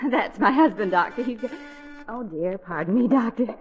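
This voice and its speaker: A woman's voice: fairly high pitch, animated, normal speed, lightly acted. An actress playing a fussy, well-meaning, muddled middle-aged mother in a 1940s radio comedy. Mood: flustered. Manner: fussy, fluttery. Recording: muffled 1940s radio recording.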